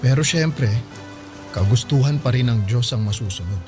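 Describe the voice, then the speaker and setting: An actor playing a devoted, protective Filipino husband in a radio drama, earnest and fussing. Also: male voice, medium pitch, lively intonation, normal speed, music in the background, close to an everyday voice. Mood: earnest, hopeful. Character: devoted, protective husband, excitable soon-to-be father. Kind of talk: radio drama acting in conversational Tagalog.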